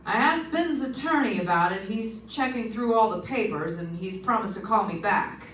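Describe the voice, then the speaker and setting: A woman's voice: mid-range voice, expressive, moderate pace, a bit bright, a little hiss or hum, natural voice. Lady playing a cautious weary homicide cop in a radio play, echoey and off mic. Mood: cautious, doubtful. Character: matter-of-fact police homicide lieutenant, cautious and world-weary. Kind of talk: old-time radio detective acting. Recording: echoey stage, distant mic.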